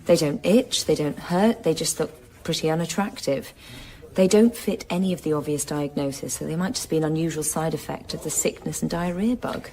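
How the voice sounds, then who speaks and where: A woman playing a brisk, dismissive family doctor in a naturalistic radio drama, firm, matter-of-fact and condescending. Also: sits low, warm tone.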